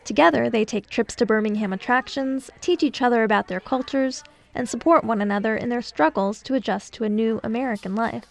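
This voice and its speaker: A woman radio reporter narrating a scripted news feature in a measured, clear delivery. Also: fairly high pitch.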